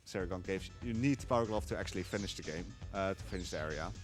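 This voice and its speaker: A man with a Dutch accent talks through his speedrun in a casual, explaining way. His voice sits a little low. Faint game music plays behind him. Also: fast. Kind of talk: live speedrun commentary.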